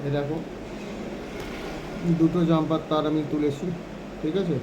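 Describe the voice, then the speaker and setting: Man, high voice, rapid informal tutorial delivery, frequent check-in tags, noisy room. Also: level tone. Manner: repeatedly checks in with short tag questions. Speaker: phone repair instructor. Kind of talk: informal hands-on repair tutorial.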